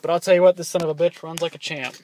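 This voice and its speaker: A man's voice: fairly high pitch, flat delivery, racing, a little mellow, slight background noise. A man rambling casually through a vlog from inside his parked SUV, swearing offhand and stumbling over his words. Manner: rambling, loose, swears casually, many ums and restarts. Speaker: vlogger reviewing his CB radio.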